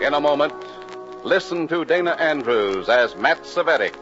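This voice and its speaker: Higher-voiced male vintage radio announcer. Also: lively intonation, moderate pace. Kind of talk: formal 1950s radio announcing. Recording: dull 1950s radio recording, music behind.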